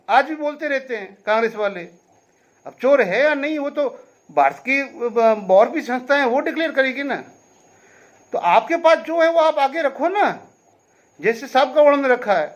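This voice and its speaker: A man's voice: very high voice, lo-fi sound. A man giving direct commentary on veterans' affairs, talking emphatically to his listeners, explaining and pleading with them and repeating a tag question as he goes.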